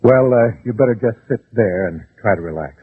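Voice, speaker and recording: An actor playing a calm police lieutenant, formal, measured and sympathetic, in a 1960s radio suspense drama. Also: male voice, fairly low pitch, breathy edge. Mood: calm, official. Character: calm, official, reassuring male police lieutenant. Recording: muffled, phone-like old radio recording.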